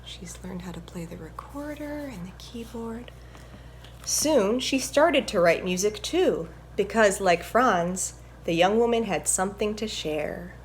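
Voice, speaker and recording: Female children's presenter, mid-range voice, gentle and encouraging read-aloud and teaching delivery, light noise behind. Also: mid-range voice, expressive, unhurried. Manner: gentle, encouraging, instructive, addressing young children. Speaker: violinist presenting a children's story time. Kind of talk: picture book read-aloud and music lesson for children.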